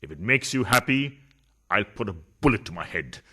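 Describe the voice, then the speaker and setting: A man's voice: on the low side, sing-song, normal speed, bright, forceful tone, breathy edge, heavily acted. A man acting a pompous, scheming adulterous politician husband in a farcical radio play, with a sarcastic, theatrical delivery. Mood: melodramatic.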